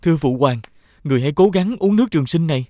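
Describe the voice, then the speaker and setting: A man playing an earnest, naive young prince, the wronged youngest brother in an animated fairy tale. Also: fairly high pitch, racing, dry recording, studio quality, natural voice. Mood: respectful.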